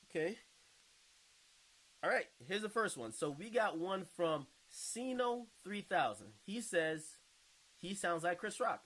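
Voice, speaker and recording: Casual higher-pitched man hosting online commentary. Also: fairly bright tone, breathy edge, rough recording. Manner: informal, slangy, swears freely. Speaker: online video host and podcaster. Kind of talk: casual commentary, reading and reacting to viewer comments.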